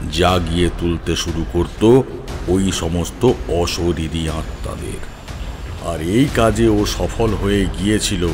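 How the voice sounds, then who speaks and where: A man playing a grave tantric holy man, recounting horror tales in a solemn, measured way with dramatic pauses. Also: low-pitched. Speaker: storyteller character. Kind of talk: scripted horror storytelling, audio drama. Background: loud background music.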